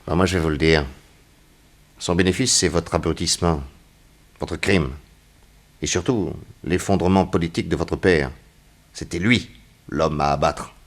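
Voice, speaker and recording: An actor playing a stern, shrewd investigator who questions insistently and sardonically in a 1960s French radio crime drama. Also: male voice, sits low, fairly even intonation, normal speed, long pauses, close to an everyday voice. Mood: scornful, heated. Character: stern, shrewd male investigator grilling a young suspect.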